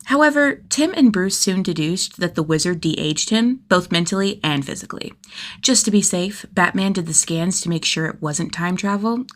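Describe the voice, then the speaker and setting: A woman narrating fan fiction in an expressive, conversational way, with youthful enthusiasm in the reading. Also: fairly low pitch. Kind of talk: animated scripted story narration.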